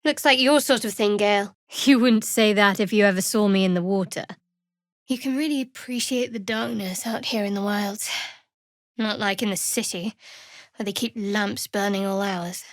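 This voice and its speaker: A woman playing a guarded, sardonic young cleric of a dark goddess in a video game, with dry, clipped delivery. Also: mid-range voice, normal speed, no room sound, close to an everyday voice. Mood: soft, measured. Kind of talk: video game character dialogue.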